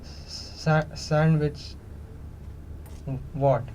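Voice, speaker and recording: A man playing a bewildered, childlike man in an experimental radio play, asking basic questions in halting, stammered fragments. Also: on the high side, flat delivery, darkish tone. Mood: halting puzzlement. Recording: slightly noisy, muffled room recording.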